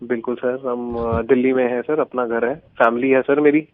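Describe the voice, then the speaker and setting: A man's voice: medium pitch, little pitch movement, normal speed, dry recording. A young man speaking politely and deferentially on the phone, eager to agree. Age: young adult. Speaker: prank call victim. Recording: tinny narrow-band phone line.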